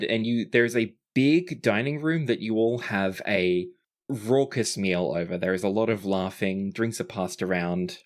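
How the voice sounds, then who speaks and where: Game master type, medium pitched voice, narrating a tabletop rpg pretty casually.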